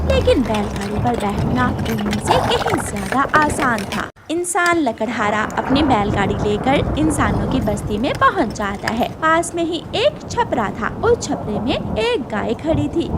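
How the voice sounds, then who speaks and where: Lively female children's story narrator. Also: fairly high pitch, very noisy.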